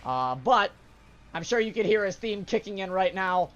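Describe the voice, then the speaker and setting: A young man with a hoarse, sore-throated voice, casually commenting on a game he plays, with filler sounds. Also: sits high, animated, moderate pace, fairly bright tone, muffled recording. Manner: casual, upbeat explanatory, frequent "uh" fillers. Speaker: gaming channel host. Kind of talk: let's play commentary. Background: game music.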